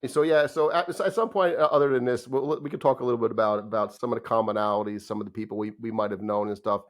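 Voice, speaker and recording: Chatty laughing New Jersey podcast host. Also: male voice, medium pitch, steady tone, fast talker. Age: 50s. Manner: chatty, laughing, trailing restarts. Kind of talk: casual podcast chat.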